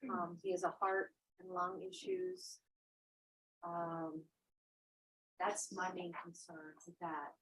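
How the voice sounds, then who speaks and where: A middle-aged American woman giving halting, hesitant testimony as a witness in a courtroom. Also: lowish voice, gravelly, a little hiss or hum, poor audio. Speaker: witness, defendant's wife.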